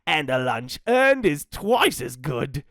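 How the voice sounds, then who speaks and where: A storyteller voicing a lazy, greedy dingo quietly scheming to himself. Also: medium pitch, big pitch swings, slow pace, no room sound, clean studio sound, voice pushed up, heavily acted. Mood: sly.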